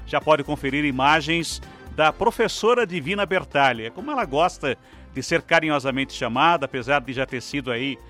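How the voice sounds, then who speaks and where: A man's voice: a little high, moderate pace, fairly bright tone, faint music behind. A Brazilian man hosting a live radio news interview in a formal announcer cadence. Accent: Brazilian Portuguese. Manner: formal announcer cadence, long flowing sentences. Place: radio studio.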